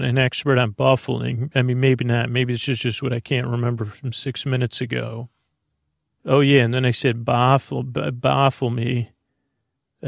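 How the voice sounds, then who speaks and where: Creaky-voiced man rambling gently at bedtime. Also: no room sound, clean studio sound. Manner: meandering, halting, often trailing off into tangents; mildly whimsical, low-key silliness. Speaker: podcast host. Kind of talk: rambling, unscripted bedtime sleep podcast intro.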